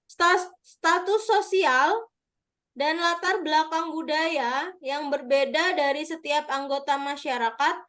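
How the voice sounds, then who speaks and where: A woman with a high, light voice leads an online review class. She explains terms step by step, patiently checking answers with her participants over a video call. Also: slow pace, a bit bright. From Madura. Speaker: teacher. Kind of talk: online exam review lesson.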